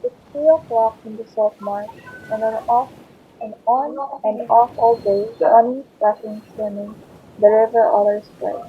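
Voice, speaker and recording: Female picture-book reader, somewhat high, heard through narrow phone-like audio with faint noise. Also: fairly even intonation, unhurried. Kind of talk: children's picture book read-aloud.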